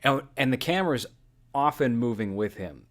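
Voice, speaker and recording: A man's voice: medium pitch, expressive, normal speed. Man casually breaking down films on a podcast. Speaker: podcast host. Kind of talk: casual film analysis.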